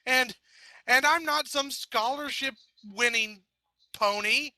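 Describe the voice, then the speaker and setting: A man's voice: extremely high pitch, lively intonation, slow, pushed and bright, no room sound, voice pushed up, heavily acted. A man voicing a brash, tomboyish young mare who is panicking and stammering in slang. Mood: alarmed.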